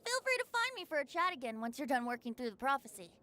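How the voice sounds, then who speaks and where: A woman's voice: sits high, sing-song, fast talker, bright, forceful tone, full character voice. A woman voicing a carefree, impish young male bard in a video game, with a playful, theatrical manner. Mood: sly, breezy. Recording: music behind the voice.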